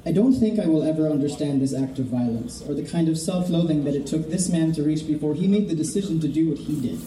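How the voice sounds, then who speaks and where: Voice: mid-range voice, fast talker, mellow. A person from Florida giving an earnest, impassioned speech at a memorial vigil, solemn and rising to fervor. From Florida, United States. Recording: echoey and distant, faint ambience.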